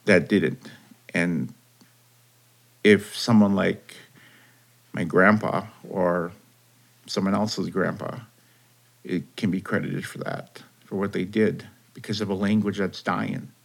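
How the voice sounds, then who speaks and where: A man interviewed about his grandfather, speaking earnestly with some hesitation and trailing off softly. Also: low-pitched, steady tone, takes it slowly, creaky, pauses often. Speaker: interviewee, grandson of a code talker. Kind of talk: interview testimony.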